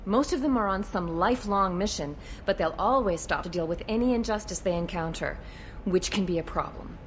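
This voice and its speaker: Actress as wary female alien cop for a sci-fi game. Frank, a bit exasperated, over noisy rumble. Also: a little fast, close to an everyday voice. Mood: frank, cautious. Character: wary, plain-spoken female alien police officer. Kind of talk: video game acting.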